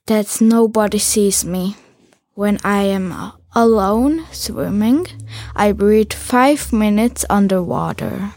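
A teenage girl, a Hungarian speaker, reading her own school text aloud slowly and carefully. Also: mid-range voice, a little mellow, dry recording.

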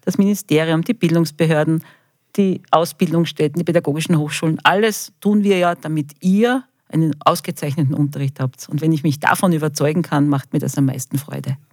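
A woman's voice: deep voice, fairly even intonation, a little fast, darkish tone, dry recording. Enthusiastic Austrian woman, dry studio-like sound. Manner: enthusiastic, colloquial. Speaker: school quality manager, interview guest. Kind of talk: interview answers addressed to children.

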